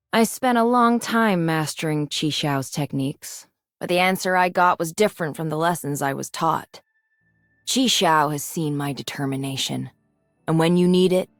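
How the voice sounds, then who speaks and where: A woman voicing a mature, resolute and idealistic swordswoman in a video game, composed and earnest in her measured delivery. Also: on the low side, no room sound, natural voice. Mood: steady, determined. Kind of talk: scripted video game character lines.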